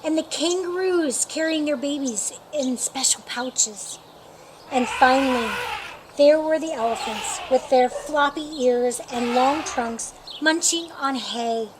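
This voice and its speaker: High-voiced woman reading a children's story. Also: slow pace, noisy background. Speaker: storyteller reading a picture book. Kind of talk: read-aloud children's storytime narration.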